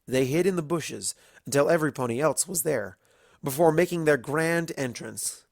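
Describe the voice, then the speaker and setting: A narrator reading scripted fan fiction as an animated storyteller, with dramatic pauses. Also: mid-range voice, vocal fry, no room sound, studio quality.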